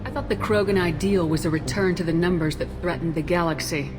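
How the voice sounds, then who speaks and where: An actress playing a tough, blunt human military commander in a sci-fi video game, direct and controlled. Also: female voice, on the low side, fast talker, music in the background, close to an everyday voice. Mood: stern, questioning. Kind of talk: cinematic sci-fi game acting.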